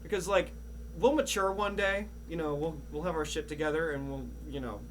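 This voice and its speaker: Man, slightly high voice, casual podcast host, profane, halting stop-start delivery, humming background noise. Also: poor audio. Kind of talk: casual chat. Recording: audible background noise and hum.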